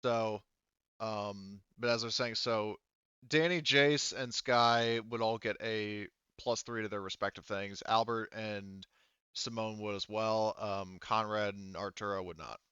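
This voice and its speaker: A man running a tabletop game as game master, explaining rules casually and haltingly as he thinks aloud. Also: lowish voice, fairly even intonation, sharp tone, clear, resonant tone, no echo, dull audio.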